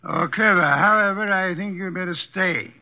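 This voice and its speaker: An actor playing an imperious, testy armchair detective in a 1950s American radio drama, speaking formally and pompously with precise diction. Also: male voice, high-pitched, normal speed. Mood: commanding. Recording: dull lo-fi vintage radio recording.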